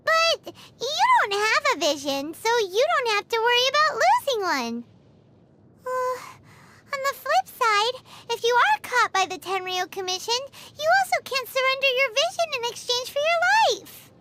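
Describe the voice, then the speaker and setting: A woman voicing a tiny, excitable, childlike fairy girl companion in a video game, with a squeaky voice and a bubbly, easily indignant manner. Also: a little slow, noisy background, full character voice. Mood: chatty, explaining. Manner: bubbly, stammering, speaks of self in third person. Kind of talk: video game character dialogue. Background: background music.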